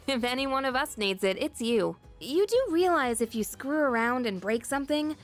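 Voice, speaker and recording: A woman's voice: high voice, lively intonation, moderate pace, soft background music. A woman voicing a sassy, practical teenage girl in a game, casual and blunt. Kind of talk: game voice acting.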